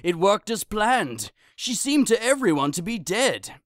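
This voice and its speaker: An anguished old holy man played by a male actor confesses in an audio tragedy. His voice sits high for a man, weighed with guilt. The sound is dry and free of room. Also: very animated, a little slow, fairly bright tone, no echo, exaggerated voice. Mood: solemn, remorseful. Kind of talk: modernized Shakespeare audio drama acting.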